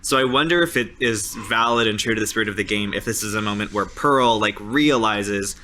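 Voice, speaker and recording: A man's voice: lowish voice, brisk, bright, forceful tone, slight background noise. A man talking informally as a player in a tabletop game, with "like" peppered through his sentences. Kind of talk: casual game chat and narration.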